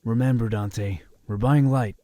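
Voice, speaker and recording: An actor playing a polite, sensible young crusader in a fantasy audio drama, formal and cautious. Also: male voice, medium pitch, unhurried, dark tone, lightly acted.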